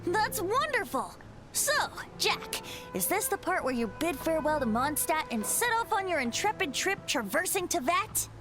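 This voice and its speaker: A woman's voice: very high voice, very animated, normal speed. A woman voicing a youthful, boyish bard in a video game, whimsical and articulate with a playful lilt. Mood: cheerful. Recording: loud background music. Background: music.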